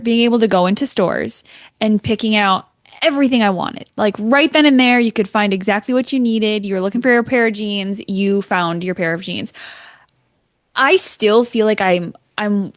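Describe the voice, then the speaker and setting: A woman's voice: medium pitch, dry recording, studio quality. A woman in her thirties from Minnesota, hosting a podcast and chatting in a friendly, agreeable way. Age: 30s. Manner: friendly, agreeable, quick backchannels. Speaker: podcast host. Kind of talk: casual interview chat.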